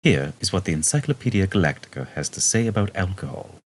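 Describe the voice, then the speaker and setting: Deep, husky man narrating a comic audiobook. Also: sing-song, fast talker. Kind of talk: scripted storybook narration, dry comic.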